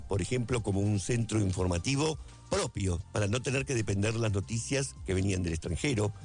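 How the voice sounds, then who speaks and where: A man's voice: fairly low pitch, darkish tone. A man with an Argentine accent giving a reflective spoken essay, often repeating a phrase for emphasis. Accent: Argentine Spanish. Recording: faint music underneath.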